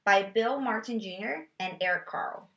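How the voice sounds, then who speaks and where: A woman teacher demonstrating a children's read-aloud, moving between explanation and expressive, sing-song reading with questions for a child. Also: medium pitch, normal speed. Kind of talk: read-aloud lesson with reading tips for parents. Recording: roomy echo.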